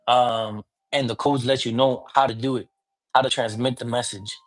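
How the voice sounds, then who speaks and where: A man speaking as a priest, teaching divination in a relaxed conversational way and often checking that the listener follows. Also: medium pitch, moderate pace. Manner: informal, often checks in with "you get me?"; mixes in Spanish words. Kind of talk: casual teaching talk.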